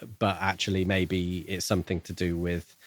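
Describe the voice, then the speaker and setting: A man co-hosting a podcast, talking casually about a book in measured, hedging phrases. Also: sits low, monotone, no echo. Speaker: podcast co-host.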